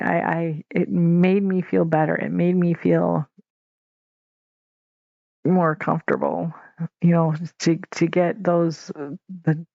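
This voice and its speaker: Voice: medium pitch, creaky, darkish tone, no echo. A podcast host from the northern United States talking through a casual, candid solo episode, rambling with fillers and restarts and chuckling often. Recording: dull lo-fi home podcast recording.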